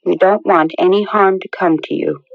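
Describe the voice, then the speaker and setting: An actress playing a confident, outspoken young bride-to-be in a 1980s radio drama, brisk and skeptical. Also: female voice, lowish voice, fairly even intonation, creaky, dry recording. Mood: concerned. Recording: muffled old radio broadcast.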